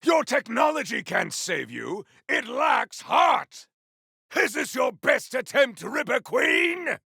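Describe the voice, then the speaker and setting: Zealous video game champion: high-pitched for a man, raspy, bombastic and theatrical, swooping boldly through boastful combat barks. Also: very animated, slow pace, sharp tone, no room sound, raised voice, studio quality, heavily acted. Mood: defiant, boastful. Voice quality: gravelly, raspy. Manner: bombastic, archaic, theatrical declamation. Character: zealous, boastful male warrior champion, grandiose and battle-hungry.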